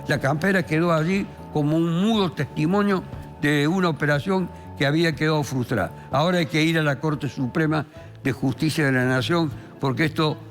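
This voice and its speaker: An Argentine man, a criminal lawyer and columnist, telling a court case in a deliberate, theatrical way with emphatic pauses. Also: on the high side. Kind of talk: dramatic storytelling of a court case. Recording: long reverberant hall sound, faint music behind.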